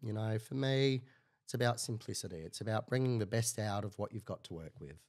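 A middle-aged man answering interview questions in a calm, explanatory way with short declarative phrases. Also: lowish voice, a little fast, over loud music. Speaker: food marketing guest. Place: bar-like studio room, indoors. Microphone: desk mic on a stand.

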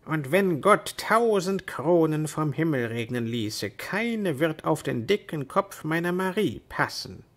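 A man's voice: a little high, animated, measured pace, hardly any pauses, voice pushed up. A man voicing a loyal, down-to-earth squire with an earthy manner in a storybook tale. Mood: amused. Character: down-to-earth, plain-spoken squire, loyal but exasperated.

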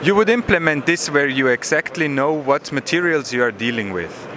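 A man in his thirties or forties giving a measured technical explanation in an interview, pausing to find words, on a handheld microphone. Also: fairly high pitch, lively intonation. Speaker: product manager explaining a demo. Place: busy exhibition hall booth. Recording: noisy trade fair hall ambience.

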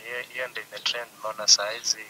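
A man's voice: lowish voice, flat delivery, quick pace, pushed and bright. A young man with a Kenyan accent, chatting casually as a guest over a phone call. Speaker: upcoming musician, phone guest.

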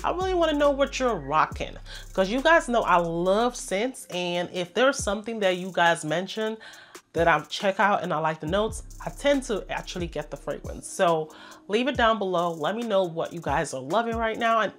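A woman reviewing perfumes to camera in an enthusiastic, chatty way, congested and a little hoarse from a cold. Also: medium pitch, expressive, moderate pace, fairly bright tone, soft background music. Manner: chatty, enthusiastic, addresses viewers as "you guys". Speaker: fragrance reviewer. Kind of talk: casual product review to camera.

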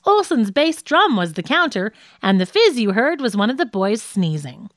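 A woman's voice: fairly high pitch, big pitch swings, moderate pace, dry recording, studio quality. A woman voicing a wry, slightly impatient male comedian from a radio comedy script in an audiobook. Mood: breezy, joking.

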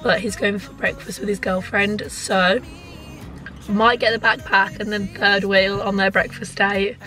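Hoarse young woman with a Brummie accent. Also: medium pitch, little pitch movement, unhurried, sharp tone, faint music behind, poor audio. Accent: Birmingham. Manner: rambling, candid, frequent "like". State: hoarse, lost voice. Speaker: vlogger. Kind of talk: casual chatty vlog. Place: inside a parked car. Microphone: handheld camera close up.

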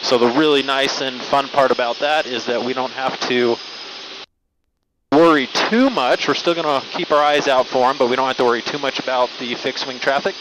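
A man's voice: mid-range voice, moderate pace. A man working as a helicopter flight instructor, explaining casually and with enthusiasm as he flies, reassuring in manner, speaking into a headset mic. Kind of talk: casual explanatory narration while flying. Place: small helicopter cockpit in flight. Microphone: aviation helmet headset mic. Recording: loud helicopter cabin noise, headset audio. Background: helicopter engine and rotor noise.

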